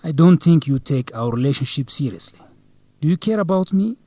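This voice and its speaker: A man's voice: fairly high pitch, expressive, fairly quick, mellow, dry recording. A man acting as a hurt, jealous young student boyfriend in a radio drama, earnest and reproachful. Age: young adult. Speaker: actor in an educational radio drama.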